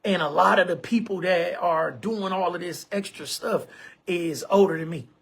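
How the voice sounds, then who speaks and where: A man's voice: high-pitched, moderate pace, gravelly, a bit bright, breathy edge. A man in his forties hosting an online show, talking casually to camera in an emphatic, repetitive way and often calling listeners bro and man. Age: 40s.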